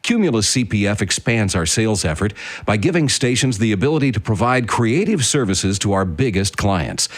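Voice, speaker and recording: A man's voice: fairly low pitch, lively intonation, normal speed, dry recording. A man reading scripted promotional narration for radio.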